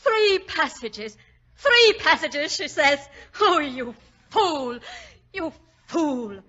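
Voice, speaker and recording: Rough-spoken, bitter fallen lady, bright-voiced. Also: sits high, sing-song, very slow, full character voice. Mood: bitter, furious. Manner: rough, blunt, intense. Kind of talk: 1940s radio acting. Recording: dull lo-fi old radio recording.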